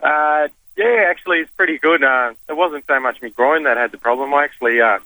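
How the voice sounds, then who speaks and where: Bright-voiced Australian man on the phone. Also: fairly high pitch, brisk, no room sound, poor audio. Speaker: phone-in caller. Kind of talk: casual, rambling chat. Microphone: telephone.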